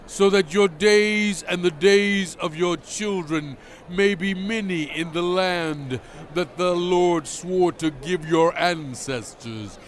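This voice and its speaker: A slow, solemn prophet. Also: male voice, high voice. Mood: earnest, commanding. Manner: solemn, measured, emphatic, exhorting. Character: solemn commanding male biblical prophet addressing his people. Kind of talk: dramatized scripture reading, oratorical.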